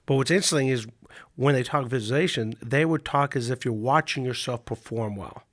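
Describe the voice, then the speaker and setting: A male coach with a hoarse voice from a cold, telling stories in a relaxed chat with frequent restarts. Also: mid-range voice, fairly quick, no echo, clean studio sound. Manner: relaxed storytelling with many restarts. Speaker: mental management coach. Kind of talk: casual podcast chat.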